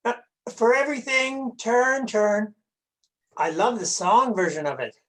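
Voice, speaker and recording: Woman playing a childlike, excitable little dragon, slightly high. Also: a little slow. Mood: excited, playful. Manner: eager, hesitant, playful. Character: childlike, excitable, easily distracted puppet dragon Bible scholar. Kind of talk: scripted children's church dialogue.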